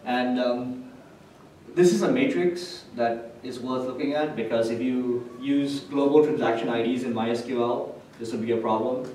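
A man's voice: mid-range voice, moderate pace, warm tone. A man giving a technical conference presentation in an explanatory manner, often checking his points with a short tag question. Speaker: conference speaker. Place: indoor hotel conference room with projector screen. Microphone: distant camera microphone. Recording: echoey room, distant mic, faint noise.

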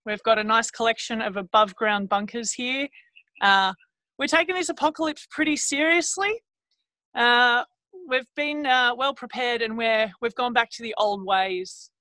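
A woman's voice: on the high side, normal speed, pushed and bright, no echo, rough recording. A woman playing a cheery, clueless Australian prepper with an Australian accent, speaking chummily on a video call from outdoors. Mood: chummy. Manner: chummy, rambling, calls everyone mate. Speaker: guest. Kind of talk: improvised comedy character.

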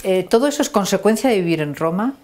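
A middle-aged woman hosting an interview in a home kitchen, prompting with short, brisk questions. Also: medium pitch, lively intonation, darkish tone. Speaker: host. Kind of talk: casual interview.

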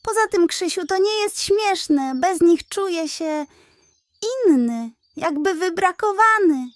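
A woman's voice: very high voice, animated, slow pace, no room sound, pitched above the performer's own voice, full character voice. A woman voicing an anxious, proud young male hedgehog in a children's bedtime story, plaintive and exclamatory. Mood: hurt, indignant.

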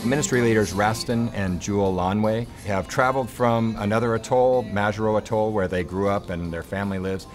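Low-voiced man narrating over guitar music. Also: fairly even intonation, brisk, few pauses. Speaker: charity ministry narrator. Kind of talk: unscripted documentary interview narration. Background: guitar music behind the voice.

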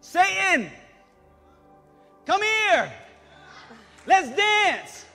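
A man's voice: very high-pitched, big pitch swings, very slow, vocal fry, pushed and bright. A man in his thirties preaching a sermon in a fervent, exhorting way from a church stage. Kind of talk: sermon with pauses for interpreter. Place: church stage before a congregation. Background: worship music.

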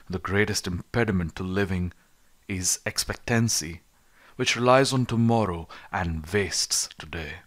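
A man narrating an audiobook of philosophy in a measured, rhetorical way, with emphatic delivery. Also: sits low, a bit bright. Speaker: audiobook reader.

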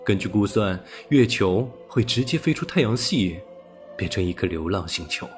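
Male audiobook narrator, lowish pitch, scripted storytelling read, light music underneath. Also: animated, moderate pace.

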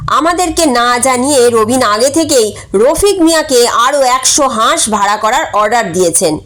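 High-pitched woman reading a story. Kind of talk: scripted humorous story narration.

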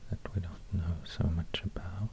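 A man with a Swedish accent giving soft-spoken, whispered ASMR in a hushed, halting way, close to a binaural microphone. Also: low-pitched, fairly even intonation, noisy background. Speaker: ASMR host.

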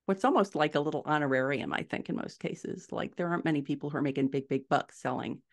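A woman's voice: low voice, quick pace, creaky. A middle-aged woman answering conversationally as an interview guest, explaining things and stressing her key points, on a video call from a room at home. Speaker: podcast guest, indie fiction author. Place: small furnished room at home, indoors.

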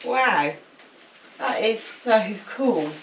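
A woman's voice: a little high, lively intonation, very slow. A middle-aged woman chatting casually and enthusiastically as she shows viewers around on a walk-through tour. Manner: enthusiastic, easily impressed. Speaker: vlogger showing viewers around. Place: walking outdoors and through open bush shacks. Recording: noisy on-the-move camera audio.

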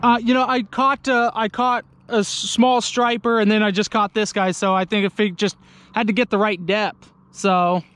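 A young man, chatting casually to his viewers from a small boat on a lake, getting excited when fish bite. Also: high-pitched, moderate pace, clear, resonant tone. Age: young adult. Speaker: fishing vlogger. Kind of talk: casual outdoor vlog commentary. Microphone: action camera on the boat.

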